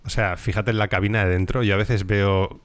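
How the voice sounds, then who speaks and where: A man's voice: fairly low pitch, fairly even intonation, brisk, smooth, no room sound. A man hosting a podcast in casual, rambling chat, joking a lot and filling his talk with hesitations. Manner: rambling, jokey, many fillers. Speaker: podcast host.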